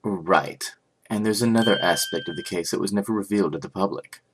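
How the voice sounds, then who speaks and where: A man voicing a composed, formal prosecutor in a game fandub, speaking in a measured, matter-of-fact way. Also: deep voice, noisy background. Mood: composed, businesslike. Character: composed, formal male prosecutor briefing on a case. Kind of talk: scripted game fandub acting.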